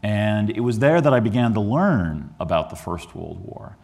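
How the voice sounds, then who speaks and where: A man with a very deep voice lectures on his history book in a museum hall. He talks earnestly and often stalls on ums and restarts, and he comes from the Chicago area. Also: sing-song, moderate pace, creaky, darkish tone. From Chicago area, USA. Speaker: historian giving a book talk.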